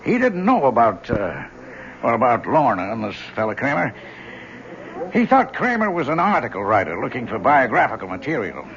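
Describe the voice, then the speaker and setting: An actor playing a concerned, genial book publisher in an old-time radio drama, conversational and slightly hesitant. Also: male voice, mid-range voice, huge pitch swings, moderate pace, a bit bright. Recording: muffled, noisy old radio recording.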